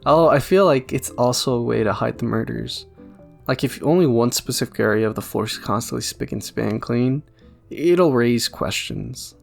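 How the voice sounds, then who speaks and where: Gravelly man rambling over background music. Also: mid-range voice, normal speed. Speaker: YouTube video essayist. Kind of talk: casual fan theory commentary.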